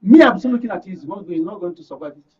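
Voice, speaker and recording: High-pitched northern Nigerian man, distant muffled sound. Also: lively intonation, fast, breathy edge. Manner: emphatic, repeats phrases for stress. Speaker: guest political commentator. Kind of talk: political discussion on a talk show.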